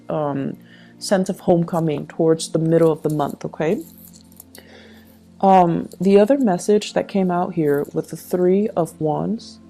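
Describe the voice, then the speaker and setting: A woman with a low, relaxed voice reads tarot for her audience. She explains things in a reassuring way, checking in as she goes. Faint music plays behind her. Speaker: tarot reader. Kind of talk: tarot reading addressed to viewers.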